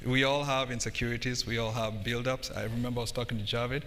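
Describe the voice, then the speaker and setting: A man's voice: mid-range voice, level tone, fast, fairly bright tone. A middle-aged man from Kenya speaking as a panelist at a conference, recorded in a live hall. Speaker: panelist, tech company internal audit executive. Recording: echoey hall with background noise.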